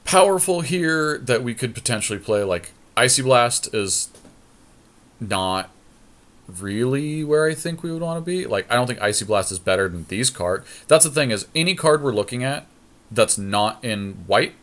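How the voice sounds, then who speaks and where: A man's voice: mid-range voice, expressive, normal speed, fairly bright tone. A man co-hosting a podcast, chatting casually and thinking aloud as he weighs choices in a card game draft. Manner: thinks aloud, hedging and deliberating. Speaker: podcast co-host.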